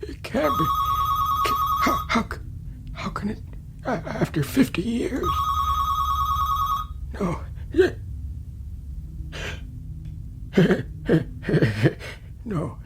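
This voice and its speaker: An old man left alone on Mars in a radio play. He is agitated and creaky, stammering to himself in drawn-out, halting speech. His high voice wavers and cracks over faint noise. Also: very animated, heavily acted. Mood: stunned, brooding. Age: older. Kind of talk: radio drama acting.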